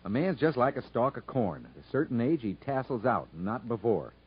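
A man's voice: medium pitch, very animated, normal speed, husky. An actor playing a stubborn, folksy young country man in a 1950s radio drama. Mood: tender, easygoing. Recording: dull 1950s radio broadcast recording.

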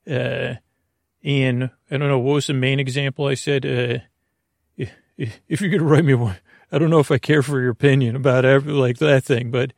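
A man hosting a sleep podcast with a gravelly voice, talking in a hesitant, halting, meandering bedtime monologue that drifts into tangents. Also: mid-range voice, no echo, studio quality. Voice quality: gravelly, creaky.

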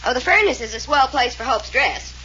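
Sharp defensive rich girl, lots of up and down in her voice, old muffled hissy radio sound. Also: high-pitched, animated, a little fast. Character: defiant young woman of a wealthy family. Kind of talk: 1940s radio acting. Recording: dull old radio recording with faint hiss.

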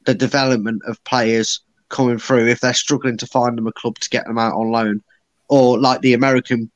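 A man's voice: medium pitch, dry recording. Chatty man, dry close sound. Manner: confident, explanatory, chatty. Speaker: football podcast panelist. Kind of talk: casual chat.

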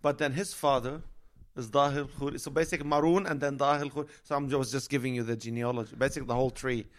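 A man's voice: medium pitch, steady tone, fast. A man in his forties from Lebanon, a comedian chatting and joking as a podcast guest in a motel room. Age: 40s. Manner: chatty, jokey storyteller. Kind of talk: casual podcast chat.

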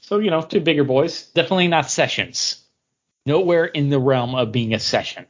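A man's voice: on the high side, normal speed, highs cut off. A man co-hosting a podcast, talking casually and conversationally about comics and beer. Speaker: podcast host.

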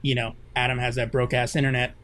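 A man's voice: mid-range voice, flat delivery, fast, a bit bright. A man co-hosting a casual podcast, chatting loosely with restarts and frequent laughs. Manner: laughs often, rambling with restarts. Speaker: podcast co-host. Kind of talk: casual chat. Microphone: computer mic on a call.

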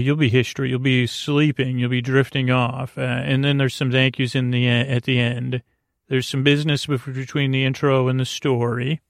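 A man hosting a bedtime podcast in a gentle, reassuring, halting monologue that meanders and over-explains, with a creaky voice. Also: medium pitch, dry recording, studio quality. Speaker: podcast host.

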